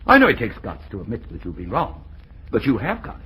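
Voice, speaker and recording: Bright, animated, wry older suitor. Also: male voice, mid-range voice, fast, husky, full character voice, low-quality recording. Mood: gallant, rueful. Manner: theatrical, wry. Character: older jilted fiancé, gallant, wry and resigned. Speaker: radio play actor. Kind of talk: old-time radio comedy acting. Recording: old radio recording, faint noise.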